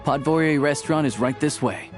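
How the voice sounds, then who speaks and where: An actor playing a friendly, easygoing local guide in a video game, explaining things casually and helpfully. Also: male voice, fairly high pitch, fairly quick, lightly acted. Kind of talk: video game character dialogue. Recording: music bed behind the voice.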